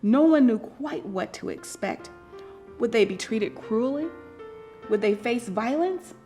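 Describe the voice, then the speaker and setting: A woman with a slightly high voice reads a story for children. Her delivery is expressive and deliberate, leaning on key words and pausing for effect. Music plays behind her. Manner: dramatic, deliberate emphasis with theatrical pauses. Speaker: storyteller reading a picture book aloud.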